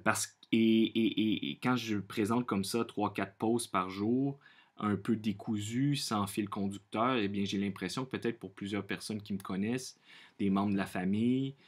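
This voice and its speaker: A man from Quebec with a Quebec French accent, speaking earnestly and off the cuff as a vlogger, often pausing and repeating himself as he searches for words. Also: on the low side, steady tone. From Quebec, Canada. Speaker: vlogger sharing personal opinions. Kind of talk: unscripted monologue to camera.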